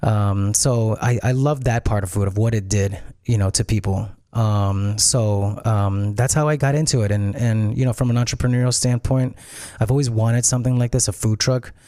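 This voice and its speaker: A man's voice: lowish voice, steady tone, moderate pace, few pauses, dark tone, no room sound, studio quality. A man from Peru, a restaurant founder and interview guest, explaining at length in a thoughtful, easy way. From Peru and Miami. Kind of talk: casual interview.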